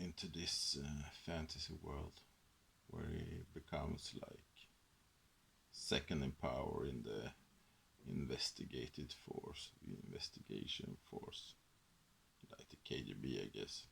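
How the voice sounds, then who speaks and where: Brief: Swedish-native man, unhurried, deep and gravelly, rambling ASMR chat. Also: a bit bright, slight background noise. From Sweden. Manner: rambling, hesitant, many fillers; drifts into whispers. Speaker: ASMR host. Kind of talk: soft-spoken ASMR book chat.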